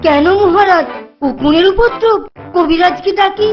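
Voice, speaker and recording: A fawning, fussy court minister with an extremely high voice. The sound is dull, with clear music playing behind. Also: unhurried. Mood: eager, servile. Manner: servile, eager. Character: obsequious, fussing, high-voiced royal minister. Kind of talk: Bengali children's cartoon voice acting. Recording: dull band-limited sound over loud music.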